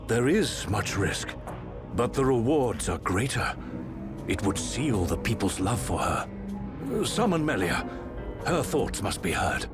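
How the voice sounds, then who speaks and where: An actor playing a measured, decisive older emperor with a regal, deliberate delivery in a formal fantasy game drama. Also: male voice, fairly low pitch, sing-song. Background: loud background music.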